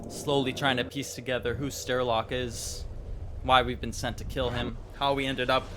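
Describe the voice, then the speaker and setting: A man's voice: medium pitch, little pitch movement, normal speed, noisy background. A man giving casual gaming reaction commentary in an informal, chatty, rambling way. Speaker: gaming YouTuber host.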